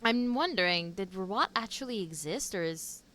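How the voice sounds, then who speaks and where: A woman's voice: medium pitch, lively intonation, normal speed, very noisy. A woman streamer giving casual, frank, offhand commentary as she reacts to a game. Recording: game music behind the voice.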